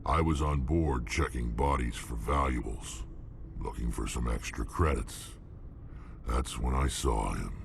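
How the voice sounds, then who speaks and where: An actor playing a gruff, hulking alien mercenary in a video game, blunt and menacing, with a gravelly rumble. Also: male voice, measured pace, full character voice. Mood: grim recollection. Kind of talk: video game character dialogue.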